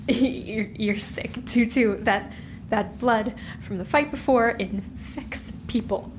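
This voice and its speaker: A guilt-ridden young sister from a fantasy audio drama, acted by a woman. Her voice sits high and breaks with tears as she pleads in halting repetitions. There is clear noise behind her. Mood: distraught, pleading. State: crying, voice cracking. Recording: noisy background ambience.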